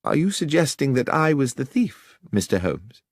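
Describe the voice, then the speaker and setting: Young male vicar , acted by a male reader, audiobook mystery. Genteel but insolent, very lively pitch. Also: moderate pace, breathy edge, dry recording, studio quality. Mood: sceptical.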